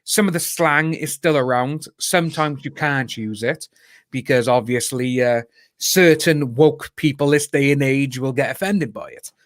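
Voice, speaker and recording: A man's voice: medium pitch, measured pace, no echo, clean studio sound. A man in his thirties from Wales with a Cardiff accent, chatting as a guest on a video call, jokey, laughing often and calling people "mate". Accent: Cardiff Welsh. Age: 30s. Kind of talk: casual chat.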